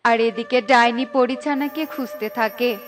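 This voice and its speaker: A woman's voice: a little high, fairly even intonation, a little slow. A woman reading scripted narration for a children's cartoon story. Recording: music behind the voice. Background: background music.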